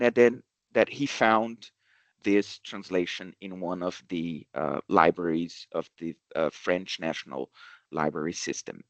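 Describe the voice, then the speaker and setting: A man gives an academic seminar talk in English, his voice low and heard dry and close. He pauses often, filling gaps with "uh" and starting phrases over as he works through his points. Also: a bit bright, no echo. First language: Portuguese. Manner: hesitant, many fillers and restarts. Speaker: research seminar speaker.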